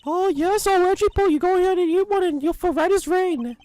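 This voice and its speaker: A performer voicing a clingy, dramatic old woman in a fan dub of a game, shrill and gushing. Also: brisk, no room sound, soft background music. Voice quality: shrill, high and loud. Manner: gushing, scolding, rambling.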